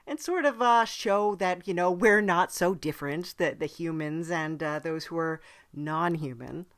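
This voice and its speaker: A woman playing a non-human podcast host from hell, chatting casually in a halting, dryly polite way. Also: on the low side, close to an everyday voice. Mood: earnest. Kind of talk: casual in-character interview chat.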